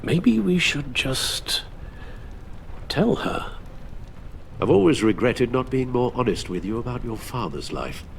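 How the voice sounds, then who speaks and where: A loyal older British butler in a video game. He speaks formally and with fatherly concern, his medium-pitched voice rising and falling widely over audible background noise. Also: very animated, a little slow, creaky, pauses often. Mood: worried.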